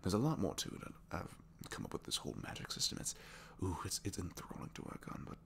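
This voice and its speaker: Man acting a deep, creaky, gentle supervillain. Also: wildly swooping pitch, quick pace, slight background noise, exaggerated voice. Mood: geeky, wistful. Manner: hesitant, soft-spoken, rambling, often dropping to whispers. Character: captured supervillain, gentle, bookish, shyly earnest dreamer. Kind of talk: intimate ASMR audio roleplay.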